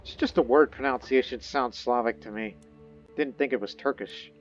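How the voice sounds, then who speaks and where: A man's voice: mid-range voice, normal speed, muffled recording, soft background music. A man thinking aloud and reading game text in casual, rambling gameplay commentary. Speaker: gaming video host.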